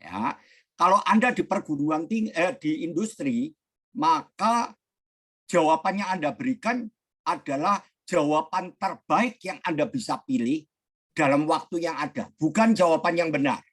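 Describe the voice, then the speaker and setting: Husky-voiced man lecturing over a noisy call. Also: fairly high pitch, animated, fairly bright tone. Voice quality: raspy, hoarse. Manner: slow, deliberate explaining. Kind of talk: online lecture to students. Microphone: computer mic on a video call.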